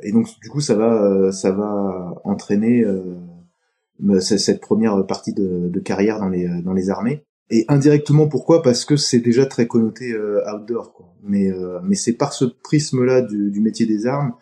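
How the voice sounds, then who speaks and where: A middle-aged man with a deep, strikingly dark and mellow voice answers interview questions at an easy pace. He talks in a halting, reflective way, often pausing mid-sentence to find the right word. He grew up on France's Atlantic coast. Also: fairly even intonation. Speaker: podcast guest. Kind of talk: casual interview talk.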